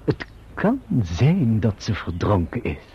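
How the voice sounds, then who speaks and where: A muffled radio drama recording of a servile Dutch manservant. His voice is very husky and swings widely in pitch. He reports in formal, deferential, self-serving phrases with careful hesitations. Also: huge pitch swings, takes it slowly, warm tone, exaggerated voice. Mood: formal, self-justifying. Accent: Netherlands Dutch.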